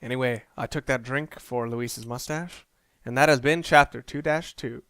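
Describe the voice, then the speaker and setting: A man's voice: mid-range voice, normal speed, smooth, dry recording. A man giving casual let's play commentary in a rambling, self-deprecating way. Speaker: gaming video creator. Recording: loud game music behind. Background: video game music and sounds.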